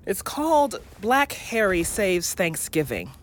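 A loving, thoughtful mother in an audio drama. She speaks slowly and patiently with a very expressive pitch, choosing her words with gentle hesitation. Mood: fond, distracted. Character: loving, patient academic mother of young son.

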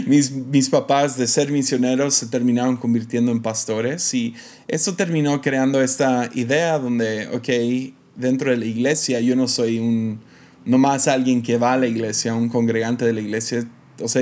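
A young man from Mexico who speaks with an American accent, talking alone on his podcast. His pitch sits in a middle range. His delivery is casual and reflective, full of hesitations, restarts and small laughs. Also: a little mellow. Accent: American English. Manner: hesitant, many fillers and restarts, light laughs. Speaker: podcast host.

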